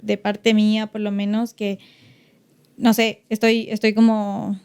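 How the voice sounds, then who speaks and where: A woman's voice: mid-range voice, monotone, takes it slowly, darkish tone, smooth. A woman from Ecuador with an Ecuadorian accent, talking reflectively as a podcast guest with many fillers and restarts. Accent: Ecuadorian Spanish. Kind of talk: casual podcast conversation.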